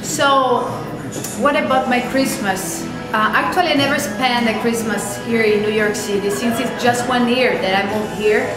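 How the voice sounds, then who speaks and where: Young Neapolitan woman, non-native English, slightly high voice, echoey room with music behind. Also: moderate pace. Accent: Neapolitan Italian. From Naples, Italy. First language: Italian. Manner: searching for English words. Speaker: interviewee, jazz student. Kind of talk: first-person interview. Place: museum room.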